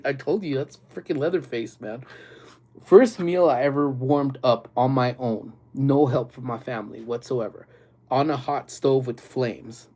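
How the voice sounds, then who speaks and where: A man hosting a casual livestream, chatting loosely with viewers, full of fillers and quick laughs, still a little congested and nasal. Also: medium pitch, dark tone. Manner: rambling, loose talk with many fillers and frequent laughs. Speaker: livestream host.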